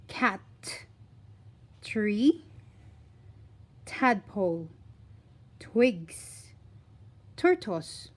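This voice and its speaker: A woman reading a scripted phonics lesson for young children, deliberately enunciating single words in an instructional way. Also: mid-range voice. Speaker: children's phonics teacher and reader.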